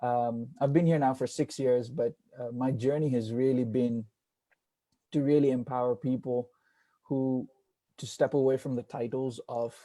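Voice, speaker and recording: A man's voice: medium pitch, fairly even intonation, moderate pace, mellow, dry recording. A man from India, a senior pastor, introducing himself earnestly on a video call panel, with frequent fillers.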